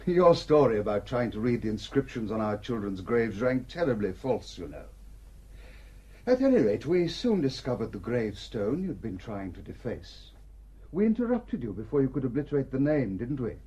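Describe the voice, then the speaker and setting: An actor playing a courteous, shrewd village rector in a radio drama, speaking in a polite, measured, probing way. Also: male voice, medium pitch, very animated, warm tone, husky. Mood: gently accusing.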